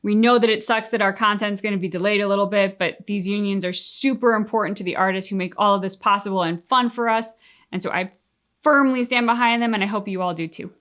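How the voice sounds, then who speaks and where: A woman co-hosting a reaction show, talking casually and conversationally with expressive retellings. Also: mid-range voice, fast.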